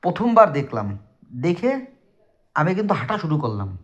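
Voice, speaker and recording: A man's voice: a little high, big pitch swings, a little fast. A man with a southwestern Bangladeshi Bengali accent telling a personal story casually and emphatically, talking straight to his listeners. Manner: conversational, emphatic, addresses listeners directly. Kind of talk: casual spoken personal ghost story to friends. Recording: dull lo-fi recording.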